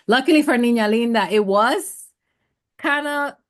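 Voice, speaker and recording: A middle-aged woman, an actress, chatting casually over a video call and acting out what others said. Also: measured pace. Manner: animated, acts out quoted people with big jumps in pitch. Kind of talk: casual conversation.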